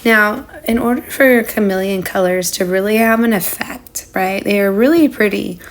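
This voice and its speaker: A woman's voice: medium pitch, moderate pace, a little hiss or hum. Woman crafter, voice recovering from illness. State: under the weather, recovering lost voice. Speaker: craft channel host. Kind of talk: casual craft tutorial narration.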